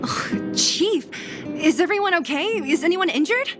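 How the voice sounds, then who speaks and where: A woman's voice: extremely high pitch, expressive, a little slow. A woman playing a brash, streetwise young resistance fighter in a game cutscene, blunt and offhand. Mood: concerned. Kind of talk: dramatic game cutscene acting. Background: background music.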